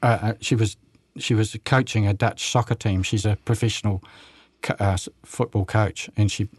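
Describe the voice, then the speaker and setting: An older man of about seventy, from New Zealand, talks as a guest on local radio. His voice sits low and the sound is close and dry. He speaks haltingly, full of ums and restarts. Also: steady tone, darkish tone, breathy edge, no room sound. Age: around 70. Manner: halting, many fillers and restarts, chuckles. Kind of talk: casual radio interview.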